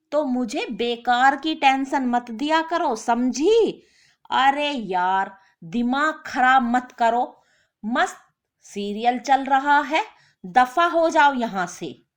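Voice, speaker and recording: A woman's voice: high-pitched, pitched above the performer's own voice. A woman voicing a lazy, jobless husband who is whiny, indignant and self-pitying, in a story.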